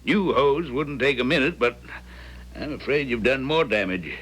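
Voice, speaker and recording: Small-town garage owner for radio drama: American, folksy, unhurried, medium-pitched, plain-spoken. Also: male voice, fairly bright tone, slightly rough. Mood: folksy, grave. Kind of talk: 1970s radio drama acting.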